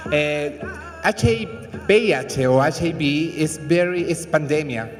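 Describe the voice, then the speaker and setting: A Chilean man, a fashion designer with Spanish as his first language, speaking slowly in halting English at a public event. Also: fairly high pitch, expressive, soft background music. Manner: halting, searching for English words. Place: event hall. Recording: reverberant hall.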